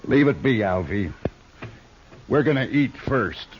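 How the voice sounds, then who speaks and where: An actor playing a brutal, domineering outlaw in a 1950s American radio western, with a rustic drawl and menacing manner. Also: male voice, medium pitch, lively intonation, measured pace, over music, lightly acted. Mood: harsh, bossy. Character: cold-blooded brutal male outlaw bossing a captive marshal. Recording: dull old radio recording, faint hiss.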